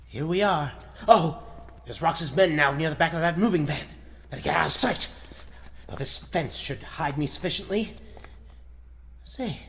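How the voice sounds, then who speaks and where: A man's voice: fairly high pitch, a little hiss or hum. A man playing an earnest, heroic reporter with a secret superhero life, thinking aloud in declamatory 1940s radio style. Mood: watchful. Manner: declamatory, dramatic.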